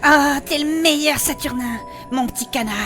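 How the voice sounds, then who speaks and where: Woman playing very high, sweet young adventurer. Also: normal speed, fairly bright tone, faint music behind. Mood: adoring. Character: sweet, earnest young female adventurer, affectionate. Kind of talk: French fantasy comedy audio drama acting.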